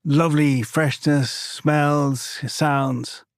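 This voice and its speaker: A man interviewing a guest, asking relaxed, easygoing questions. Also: on the high side, extremely slow, no room sound, clean studio sound. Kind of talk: conversational interview.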